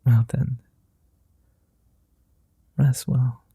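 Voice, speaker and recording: A courtly male vampire, played by a man, murmurs in a dark, gravelly voice that turns husky and breathy as he leans into whispers. He takes his time over every word, very slow and patient, close and dry as if right at your ear. His formal, old-fashioned manner is gentle and coaxing. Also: mid-range voice, little pitch movement, no echo, full character voice. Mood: soothing. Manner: soft-spoken, slow, formal, drifting into whispers. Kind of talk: ASMR roleplay.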